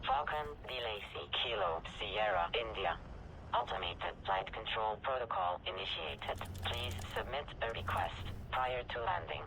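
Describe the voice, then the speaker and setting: A man's voice: on the high side, big pitch swings, normal speed, vocal fry, heavily acted. A man voicing a formal spaceport traffic controller, speaking procedural phrases through a radio filter. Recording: noisy crackling radio transmission.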